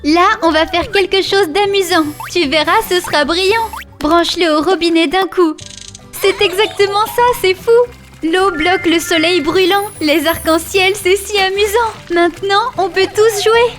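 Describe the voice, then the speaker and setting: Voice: very high-pitched, steady tone, moderate pace, a bit bright. An actor voicing a playful, impatient little child in a rhyming children's cartoon, with exclamatory singsong delivery. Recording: music behind the voice. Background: children's music.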